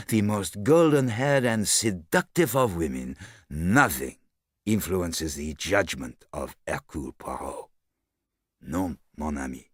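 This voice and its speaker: Guy putting on a fussy formal detective, french accent, low voice, self-assured, lots of up n down, audiobook. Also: breathy edge, no echo, studio quality, exaggerated voice. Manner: formal, deliberate, emphatic. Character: courteous, precise, self-assured foreign male detective.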